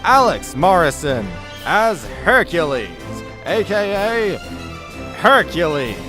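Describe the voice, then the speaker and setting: A man's voice: sits high, sing-song, takes it slowly. A man reading scripted narration for a radio play, as its narrator. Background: music behind the voice.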